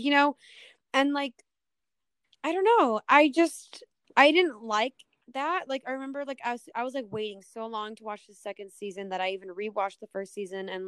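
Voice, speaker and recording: A young woman podcast co-host chatting casually in an animated, opinionated way, peppering her talk with "like". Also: mid-range voice, clear, resonant tone, no room sound. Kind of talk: casual chat.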